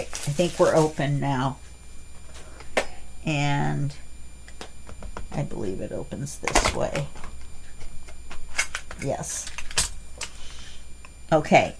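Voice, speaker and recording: A woman's voice: sits low, a little hiss or hum. A middle-aged woman hosting a casual crafting unboxing, chatty and rambling with fillers, her voice stuffy from allergies. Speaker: crafting channel host.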